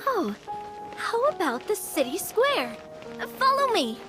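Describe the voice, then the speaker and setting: High-voiced, confident young heroine. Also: female voice, sing-song, slow, heavily acted, low-quality recording. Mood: eager. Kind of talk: video game voice acting. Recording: music behind the voice.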